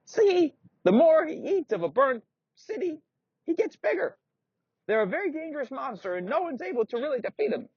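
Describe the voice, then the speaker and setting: A man voicing a frail, boastful elderly knight in a squeaky, quavering voice that cries out. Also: sing-song, normal speed, no room sound, heavily acted. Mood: warning. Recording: dull lo-fi recording.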